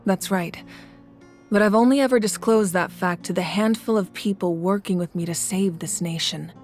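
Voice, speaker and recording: A grave, ancient ruler of a fire nation, calm and formal as she lays out a long history, with a woman acting her out. Her voice sits at a middle pitch. Loud game music plays under her. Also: close to an everyday voice. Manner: measured, formal storytelling.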